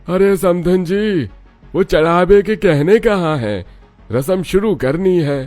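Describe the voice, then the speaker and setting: A man's voice: sits high, takes it slowly, a little mellow, faint music behind, pitched above the performer's own voice. A man voicing a haughty, outraged father of a bridegroom in a dramatized family story, scolding indignantly. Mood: demanding.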